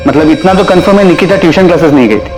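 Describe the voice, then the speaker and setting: An actor playing a businesslike police officer leading an investigation in a TV crime serial. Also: male voice, a little high, racing, close to an everyday voice, rough recording. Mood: deducing. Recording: dramatic music behind the voice.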